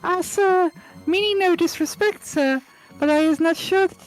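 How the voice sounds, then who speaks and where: A woman voicing a timid female house elf servant with a high, quivering squeak and broken, deferential grammar. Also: normal speed, faint music behind, poor audio. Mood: timid servile.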